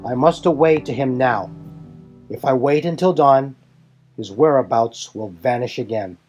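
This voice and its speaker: A man voicing a solemn, resolute warrior leader in a fan audio drama, speaking in formal, earnest, deliberate phrases. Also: medium pitch, darkish tone, dull audio, soft background music, voice pushed up, close to an everyday voice.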